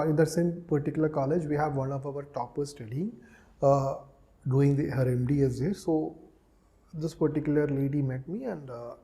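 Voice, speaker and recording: A man's voice: a little high, warm tone. A man with an Indian accent giving an explanatory lecture as an exam coach, emphatically repeating key phrases and checking in often.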